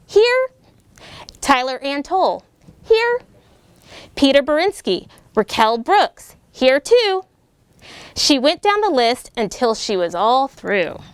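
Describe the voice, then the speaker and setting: A middle-aged American woman, a military officer, reading a children's picture book aloud with lively, animated delivery and voiced character lines. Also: sits high, smooth, no echo. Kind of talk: storybook read-aloud.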